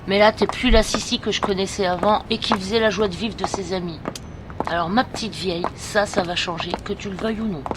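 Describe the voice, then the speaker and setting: A woman's voice: mid-range voice, steady tone, fairly quick, noisy background, natural voice. A woman playing a frank, bossy but caring teenage best friend in an audio drama. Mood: scolding, firm. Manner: frank, scolding, familiar. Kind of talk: amateur audio drama acting.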